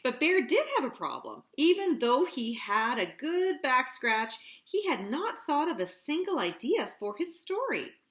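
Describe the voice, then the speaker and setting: Woman storyteller, bright high voice, slow deliberate pace, sing-song, expressive children's narration.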